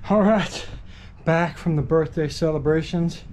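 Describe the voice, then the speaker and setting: A man's voice: fairly high pitch, unhurried, darkish tone, slight background noise. A man in his fifties vlogging casually, talking to an action camera at arm's length. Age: 50s. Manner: chatty, laid-back tour-guide talk. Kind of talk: casual vlog narration. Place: indoor go-kart venue and home garage. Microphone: action camera held at arm's length.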